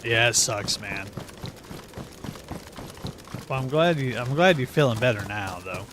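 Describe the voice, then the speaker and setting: A man's voice: medium pitch, animated, a little slow, noisy background. A man livestreaming a game, talking in a rambling, folksy, informal way as he plays. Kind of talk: casual gaming commentary and chat.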